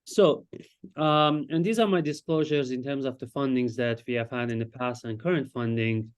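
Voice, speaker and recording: A man from Iran, a Farsi speaker, presenting his research as a psychiatrist in a lecture and pausing often to search for words. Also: medium pitch, smooth. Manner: explanatory, searches for words with frequent hesitations.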